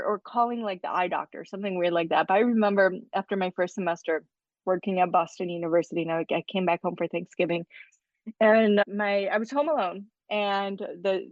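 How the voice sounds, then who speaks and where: A woman's voice: medium pitch, no room sound. A woman with a mid-range voice chats as a podcast guest and tells stories, laughing often. The sound is dry and a little dull, as if from a modest mic. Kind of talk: casual chat.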